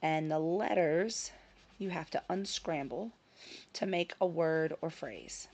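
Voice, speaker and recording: A woman hosting her own vlog in casual chat, a little hoarse from a scratchy throat, talking with frequent ums and self-corrections. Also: low voice, slow pace, a little mellow. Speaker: channel host. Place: her home in Sicily.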